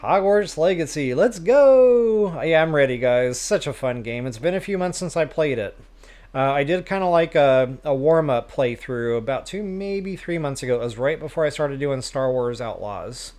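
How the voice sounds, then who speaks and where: A man's voice: a little high, lively intonation, normal speed, a little mellow. A man hosting a game livestream, chatting casually with viewers in a self-deprecating way. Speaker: live stream host, gamer.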